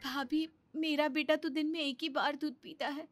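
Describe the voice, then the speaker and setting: A woman's voice: high voice, fairly even intonation, moderate pace, soft background music, natural voice. A woman playing a meek, hurt sister and mother in a story, earnest and pleading.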